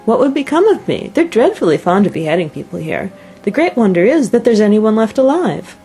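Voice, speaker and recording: Plucky little girl played by a woman, high pitch, earnest, indignant, lively intonation, storybook heroine. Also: a little fast, husky, faint music behind, full character voice. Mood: wondering. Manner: earnest, complaining.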